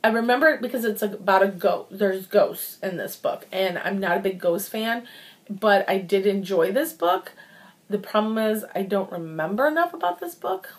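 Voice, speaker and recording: A woman's voice: mid-range voice, animated, moderate pace. A woman giving a casual, chatty book review, informal and rambling as she thinks aloud and repeats words for emphasis.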